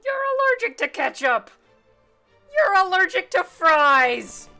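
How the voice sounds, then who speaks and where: A woman voicing anxious, excitable puppet characters with exaggerated melodrama in little skits. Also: very high-pitched, slow pace, faint music behind, voice pushed up, heavily acted. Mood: distressed, melodramatic. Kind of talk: puppet show dialogue.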